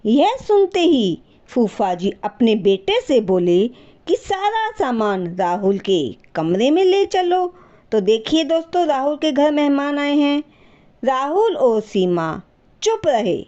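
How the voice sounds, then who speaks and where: A woman narrates a story in an expressive, high voice with a soft, mellow tone. She takes her time and addresses listeners directly. The sound is a little muffled. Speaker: storyteller, channel host. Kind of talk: scripted Hindi moral story narration.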